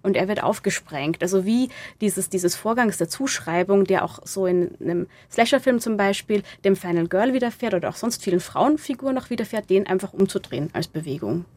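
A woman's voice: mid-range voice, animated, a little fast, hardly any pauses, a little mellow. A woman interviewed as an author, explaining analytically in a hesitant, searching way. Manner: hesitant, searching, thinking aloud.

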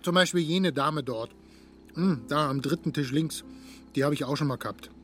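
A man's voice: on the high side, moderate pace, fairly bright tone, a little hiss or hum, natural voice. An actor as a smug, boastful young womanizer. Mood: casual bragging. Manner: boastful, self-satisfied, chatty, punctuated by little hums. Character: smug, self-absorbed young womanizing sportsman bragging of conquests. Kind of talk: German audio drama dialogue.

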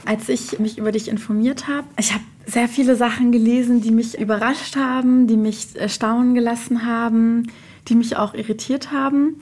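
A woman with a somewhat high-pitched voice hosts an interview. She shifts between conversational questioning and prepared narration. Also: fairly even intonation, moderate pace, a little mellow. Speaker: host and interviewer.

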